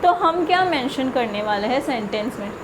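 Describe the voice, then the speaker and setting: A woman teaching a spoken English lesson, mixing in English example sentences that she reads out slowly and carefully. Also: high voice, expressive, background noise. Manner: slow, deliberate example sentences with frequent "theek hai".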